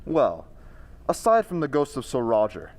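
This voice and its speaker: A man playing a cool, probing gentleman sleuth with formal, inquisitive delivery in an old-time radio mystery. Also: mid-range voice, huge pitch swings, normal speed. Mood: inquisitive, composed.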